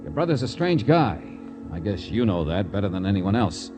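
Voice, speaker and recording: A man playing a tough, sharp-tongued private detective in an old American radio drama, needling and insistent. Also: sits low, sing-song, normal speed, over music. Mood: calmer, explaining. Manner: hard-boiled, needling, insistent. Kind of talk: 1940s-50s American radio drama acting. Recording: vintage radio drama recording.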